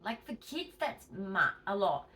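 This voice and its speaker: A woman's voice: mid-range voice, lively intonation, unhurried, far from the mic. An Australian woman chatting casually to her viewers in a home vlog, emphatic and chatty, and a bit wheezy. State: wheezy, tight-chested. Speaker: vlogger.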